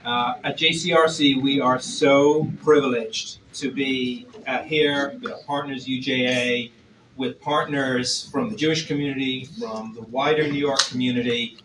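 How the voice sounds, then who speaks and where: Irish man, organization rep at the mic, halting and measured with lots of fillers, heard from off the mic in a reverberant room. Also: mid-range voice, fairly even intonation, fairly bright tone, slight background noise. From Ireland. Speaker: organization representative. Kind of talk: public welcoming speech. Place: event hall. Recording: roomy, distant hall.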